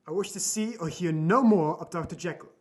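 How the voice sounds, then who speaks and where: An embittered old doctor nearing death , acted by a man for an audio drama. His voice sits a little high, and he speaks formally and with emphasis, tired of living. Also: fairly quick, natural voice. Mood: bitter, agitated. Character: dying, embittered old male doctor, weary of life.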